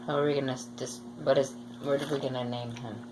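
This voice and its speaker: A woman's voice: a bass voice, flat delivery, fast talker, a little mellow, low-quality recording. A young woman rambling through casual stories with a playfully dramatic streak. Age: teen or young adult. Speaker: vlogger telling school stories. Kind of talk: casual storytime chat. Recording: faint background noise.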